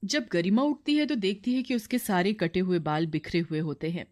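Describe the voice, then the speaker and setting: A woman's voice: medium pitch, moderate pace, a little mellow. A woman reading scripted narration as the storyteller of a moral tale.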